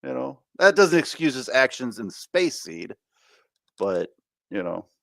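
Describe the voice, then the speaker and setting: A man's voice: mid-range voice, very animated, a little slow, vocal fry, a bit bright, dry recording. Man chatting casually, dry close sound. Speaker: podcast co-host. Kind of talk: casual podcast chat.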